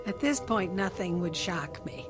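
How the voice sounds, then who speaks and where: A woman's voice: on the low side, animated, a little slow, over music. A middle-aged woman playing a puzzled, skeptical grandmother, speaking earnest, questioning lines in a screen drama. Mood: incredulous, probing.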